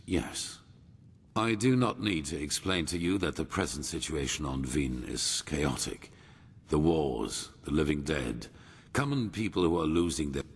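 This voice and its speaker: Very deep, gravelly old grandmaster. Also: male voice, heavily acted. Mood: solemn, courteous, then grave, resolute. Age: older. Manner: solemn, formal, measured storytelling. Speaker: video game character. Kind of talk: dramatic fantasy game dialogue.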